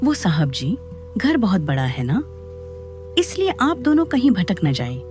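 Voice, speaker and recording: A woman's voice: mid-range voice, wildly swooping pitch, measured pace, a little mellow, faint music behind. A woman playing an eerie spirit posing as a deferential, insistent village girl in a horror audio story. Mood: polite, insistent.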